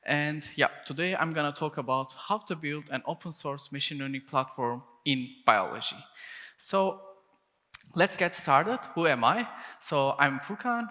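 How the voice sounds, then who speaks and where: A man's voice: on the high side, animated, a little slow, pushed and bright. A young man from Turkey, giving a technical conference talk in English, often linking ideas with "and then" and restarting phrases. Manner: frequent "and then" and restarts, non-native phrasing. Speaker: conference speaker. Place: conference hall. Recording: reverberant conference hall.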